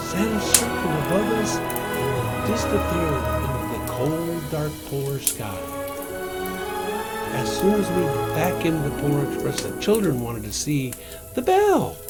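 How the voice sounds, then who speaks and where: A man reading a children's story aloud in a theatrical, drawn-out way, as a Santa performer. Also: high-pitched, creaky. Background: music.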